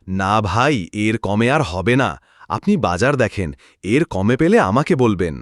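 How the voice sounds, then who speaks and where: A man's voice: lowish voice, lively intonation, moderate pace, no echo, clean studio sound, close to an everyday voice. A man voicing a blunt village man in a cartoon folk tale. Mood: firm. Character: blunt village man, impatient customer or fish seller.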